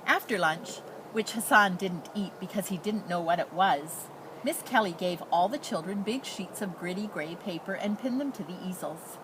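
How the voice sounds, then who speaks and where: A woman reading a children's picture book aloud as a storyteller in expressive narration. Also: on the low side, normal speed. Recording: noticeable background noise.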